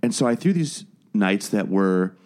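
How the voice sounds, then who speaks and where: A man with a fairly low voice, talking loosely as a podcast guest. He restarts his sentences and doubles up on words as he works through a long story about his career. He sounds relaxed and conversational. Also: a little slow. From Wales. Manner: chatty, frequent restarts and repeated words. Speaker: guest, musician and podcast producer. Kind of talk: casual interview conversation.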